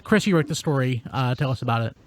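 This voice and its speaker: A man's voice: mid-range voice, fast talker. A man hosting a podcast in a casual, conversational way. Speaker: podcast host.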